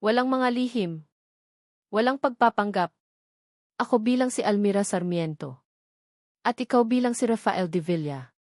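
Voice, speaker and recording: A woman voicing a hurt but firm young woman in a romance audio drama, speaking calmly and deliberately. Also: medium pitch, dry recording, studio quality, close to an everyday voice. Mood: firm, hopeful.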